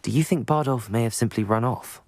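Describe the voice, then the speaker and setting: An actor playing a polite, earnest, inquisitive young student wizard in a fantasy video game. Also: male voice, medium pitch, brisk, darkish tone, natural voice. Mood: curious, earnest. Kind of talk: video game character dialogue.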